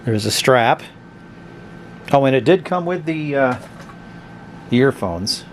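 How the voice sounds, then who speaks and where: A man hosting a casual, unscripted product review, talking in a hesitant, halting, conversational way. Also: mid-range voice, lively intonation, a little hiss or hum. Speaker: host of a vintage radio review channel.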